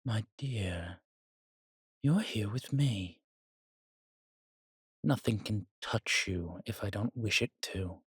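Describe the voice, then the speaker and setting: Man voicing a husky, courtly vampire seducer. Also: lowish voice, lively intonation, slow pace, long pauses, dry recording, studio quality, heavily acted. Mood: reassuring, possessive. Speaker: voice actor in an audio drama. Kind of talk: intimate romantic audio roleplay addressed to the listener.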